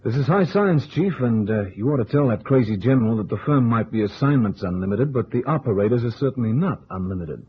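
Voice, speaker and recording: Radio detective operative: medium pitch, breezy wisecracks, easygoing grumbler, dull vintage audio. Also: male voice, lively intonation, a little fast, few pauses, husky. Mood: wry grumbling. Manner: breezy, wry, colloquial. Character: wisecracking, easygoing male operative, wryly reluctant hero. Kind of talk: old-time radio detective acting. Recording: muffled, noisy vintage radio recording.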